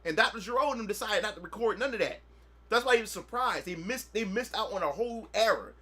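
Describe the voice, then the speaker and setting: A man's voice: high voice, lively intonation, quick pace, pushed and bright. A man in his thirties, chatting casually on a gaming livestream, rambling and repeating himself as he reminisces. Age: 30s. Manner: rambling, repetitive, often asks "you know what I'm saying". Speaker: gaming streamer.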